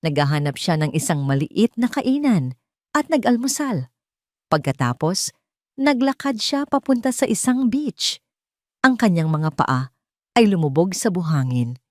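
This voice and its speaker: A woman narrates a dramatic story from a script. Her voice sits a little low, and the sound is very dry and close, with no room around it. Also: very animated, unhurried, long pauses, a little mellow, no echo.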